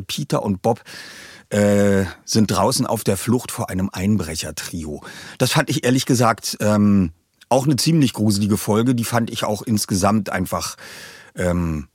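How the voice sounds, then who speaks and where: A man's voice: on the low side, breathy edge, no room sound, clean studio sound. A man hosting a feature for listeners in a chatty, informal way, addressing them directly. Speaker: host. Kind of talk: casual presentation to listeners of an audio drama feature.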